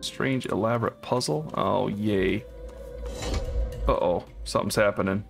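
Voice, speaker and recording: A man's voice: lowish voice, measured pace, vocal fry, over music. A man casually commenting on a co-op game as he plays, thinking aloud and unsure as he works through a puzzle. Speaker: co-op game let's player.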